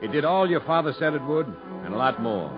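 A man's voice: fairly high pitch, animated, brisk, natural voice. A man acting a blunt, loyal, plainspoken prison warden in a 1950s radio drama. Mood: warm, reflective. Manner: earnest, firm, blunt. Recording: muffled 1950s radio broadcast with music.

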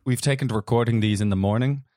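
Casting: hoarse gravelly young guy, loose podcast chatter, dry close sound. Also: mid-range voice, fast talker, warm tone, no echo. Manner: rambling, laughs easily. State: hoarse from shouting the night before. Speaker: podcast co-host. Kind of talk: casual chat.

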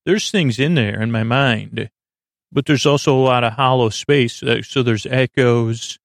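Creaky-voiced man rambling a bedtime monologue. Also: mid-range voice, no room sound, clean studio sound. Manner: meandering, hesitant, halting, goes off on tangents. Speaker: podcast host. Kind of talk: rambling bedtime monologue meant to lull listeners to sleep.

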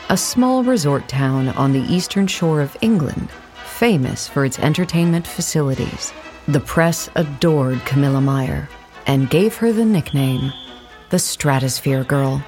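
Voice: mid-range voice, darkish tone, natural voice. A narrator reading a scripted documentary account for an audio play in a formal, factual manner.

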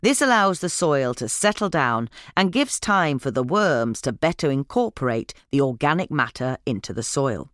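A narrator reading a gardening article aloud in a measured, instructional manner. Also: medium pitch, sing-song, a bit bright, no room sound, clean studio sound.

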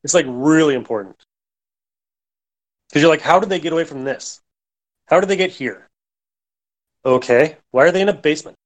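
A man's voice: a little high, moderate pace, long pauses. A man hosting a podcast in casual, unscripted chat. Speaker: podcast host.

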